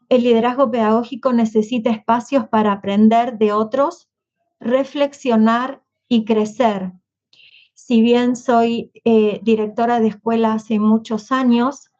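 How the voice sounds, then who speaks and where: A woman school principal and panelist speaking in her native Spanish, measured, pausing with frequent fillers. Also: a little high, a little mellow, dull audio. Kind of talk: prepared panel answer.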